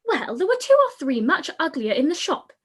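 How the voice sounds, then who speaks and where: A giddy, careless teenage girl, played by a woman in a radio play. She chatters in a high voice that swoops about. Her delivery is lively and sing-song. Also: normal speed. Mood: gossiping. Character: giddy, silly youngest sister, teenage girl. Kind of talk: live-read radio play.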